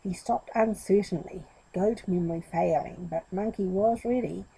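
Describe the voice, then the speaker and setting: A woman storyteller giving an expressive narration of a story for children. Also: medium pitch, moderate pace, vocal fry, breathy edge, rough recording.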